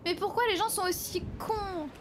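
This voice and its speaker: A woman's voice: extremely high pitch, steady tone, moderate pace, a crowd in the background. A woman improvising as a candid, lovelorn young woman in a roleplay game, apologetic and often searching for words. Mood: dismayed. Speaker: roleplayer in an online game server. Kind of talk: improvised in-character conversation.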